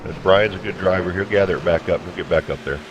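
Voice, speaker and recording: A man's voice: low voice, quick pace, muffled recording. A man working as a race color commentator, explaining things in a conversational way during live coverage. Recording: clear droning engine noise behind.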